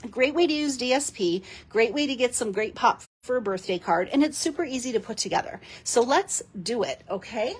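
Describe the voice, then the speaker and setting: A woman with a fairly high, husky, raspy voice, hoarse today. She chats and giggles freely as she walks through a card-making demo, with plenty of rambling asides and fillers. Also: animated, brisk, hardly any pauses. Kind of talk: casual live craft demo.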